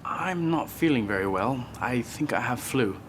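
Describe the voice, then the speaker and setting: A customer feeling unwell, played by a man in a scripted learning video, mid-pitched and plain. He answers in short, simple sentences. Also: expressive, moderate pace, a bit bright, slight background noise, lightly acted.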